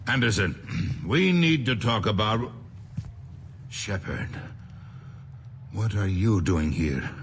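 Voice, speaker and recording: A man's voice: fairly low pitch, lively intonation, takes it slowly, long pauses, big-room sound, a little hiss or hum. A man acting an irritable, officious political advisor in a video game, stiff and sarcastic. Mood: indignant.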